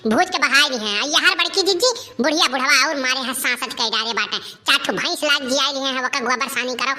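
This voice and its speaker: A woman acts out a hot-tempered village mother from a comic cartoon. Her voice is high and piercingly sharp, with an Awadhi accent. She rants, complains and curses like someone worn out by chores and her wayward child. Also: brisk. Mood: aggrieved. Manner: ranting, complaining, cursing.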